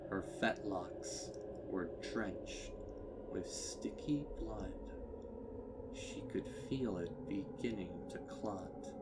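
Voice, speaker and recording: A narrator reading a dark horror story in a grave, deliberate manner, with phrases trailing into breathiness. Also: sits low, heavy background noise. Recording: distant mic under loud ambient sound.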